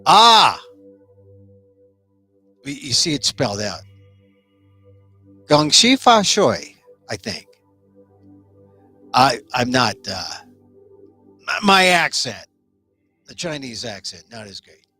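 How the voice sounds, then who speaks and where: Animated chatty male talk-show host. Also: medium pitch, slow, pauses often, soft background music. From United States. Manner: animated, chatty, rambling with restarts. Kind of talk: casual solo talk show.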